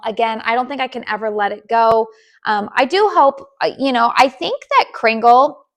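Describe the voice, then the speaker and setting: A woman who hosts a candle channel, from the United States, chatting casually to her viewers with a raspy voice, with many ums and restarts. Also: on the high side. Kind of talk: casual chat to camera.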